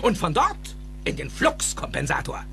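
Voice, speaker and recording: An actor playing an excitable, eccentric older male inventor who explains things theatrically and emphatically in a dubbed film drama. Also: high-pitched, moderate pace, gravelly, faint music behind, exaggerated voice. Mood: excited explaining. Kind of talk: dubbed film acting in a German audio drama.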